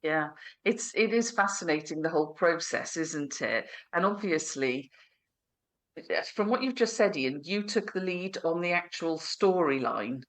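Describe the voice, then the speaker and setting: A woman's voice: fairly low pitch, lively intonation, measured pace. A woman hosting a podcast interview, asking her guests about their book with encouraging remarks. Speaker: podcast host.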